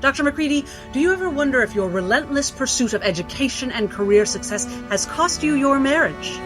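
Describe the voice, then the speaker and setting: A woman's voice: high-pitched, expressive, a little fast, over music. A woman playing a coldly probing interrogator in a 1960s-set drama, formal, pointed and pressing. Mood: accusing. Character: relentless, coldly probing female interrogator questioning a doctor. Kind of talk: 1960s cinematic drama acting.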